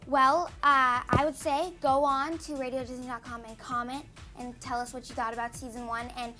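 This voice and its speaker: Teenage girl, American, high voice, bubbly, casual chatty answers, faint music behind. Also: flat delivery, normal speed, bright, forceful tone. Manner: bubbly, peppered with "like". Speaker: interview guest, young actress. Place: small TV studio lounge. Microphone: lapel mic.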